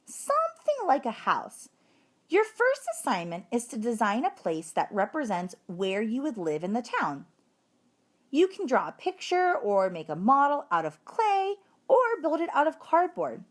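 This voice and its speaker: A woman's voice: a little high, wildly swooping pitch, measured pace, pitched above the performer's own voice, exaggerated voice. A woman reading aloud as an encouraging, orderly female primary school teacher in a storybook, addressing a class in an instructive way.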